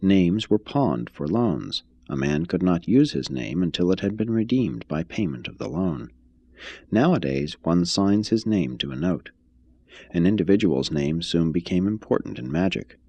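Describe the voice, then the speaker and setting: A man reading an expository text aloud as a narrator, in a measured, formal manner. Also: sits low, sing-song, vocal fry, dark tone, breathy edge, no echo, lo-fi sound. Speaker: reader, narrator. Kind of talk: scripted audiobook-style reading of an expository text.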